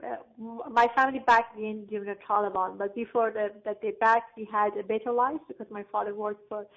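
A woman from Afghanistan, not an English speaker, answering interview questions haltingly with many pauses and fillers. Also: medium pitch, steady tone. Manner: halting, searching for words, frequent fillers. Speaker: guest. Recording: tinny phone-like line.

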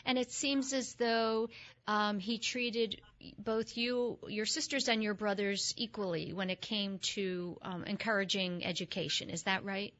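A woman's voice: mid-range voice, fairly even intonation, a little slow, a bit bright. A woman interviewing a guest, asking long questions with many fillers and restarts. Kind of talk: podcast interview. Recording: slightly dull lo-fi audio.

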